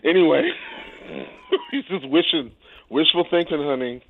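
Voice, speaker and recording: A man's voice: a little high, lively intonation, a little slow, vocal fry, dry recording. A man giving casual political commentary as a radio guest, talking in a wry, colloquial way. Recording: dry, phone-like narrow sound.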